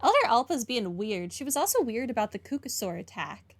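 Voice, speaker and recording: A woman's voice: on the high side, big pitch swings, fairly quick, noisy background. A woman giving casual, wry playthrough commentary, musing aloud as she plays a video game. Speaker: game streamer. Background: game music.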